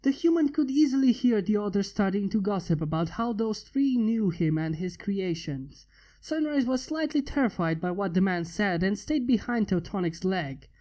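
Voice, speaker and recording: A man's voice: high-pitched, very animated, normal speed, mellow. A man reading a fan fiction story aloud as scripted narration.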